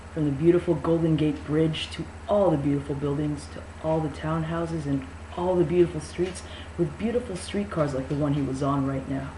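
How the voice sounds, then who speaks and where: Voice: mid-range voice, darkish tone. A narrator giving a mock-dramatic amateur reading of a novel, with casual introductory talk. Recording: echoey room with faint noise.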